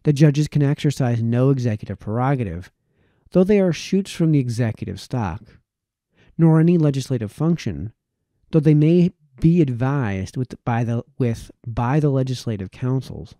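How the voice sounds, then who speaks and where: A man reading an old political text aloud as an audiobook narrator, phrasing it formally and deliberately. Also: medium pitch, lively intonation, dark tone, dry recording, clean studio sound. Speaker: audiobook reader.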